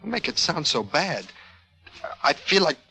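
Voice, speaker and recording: An American actor playing a moody, insecure young college basketball player in a mid-century radio drama, earnest and defensive. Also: male voice, fairly high pitch, big pitch swings, a little slow, vocal fry, pushed and bright, breathy edge, exaggerated voice. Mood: unsure.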